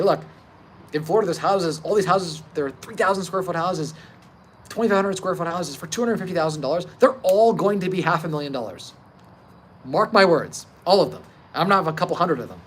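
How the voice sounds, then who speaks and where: A thirty-year-old man hosting a casual livestream about investing, speaking informally, emphatically and confidently. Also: a little high. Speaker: livestream host, real estate investor. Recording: clear steady background ambient noise.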